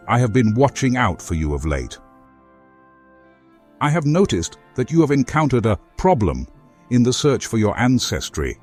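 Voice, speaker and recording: A man playing a courteous, earnest former foe in a fantasy game, speaking formally and deliberately as he offers help. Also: medium pitch, a little mellow, natural voice. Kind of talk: video game character dialogue.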